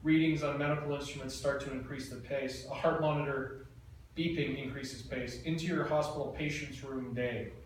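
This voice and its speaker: A man reading a screenplay's stage directions aloud in a plain, even way. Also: medium pitch, normal speed, recorded from a distance. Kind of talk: reading screenplay action lines. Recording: echoey room, mic from afar, faint noise.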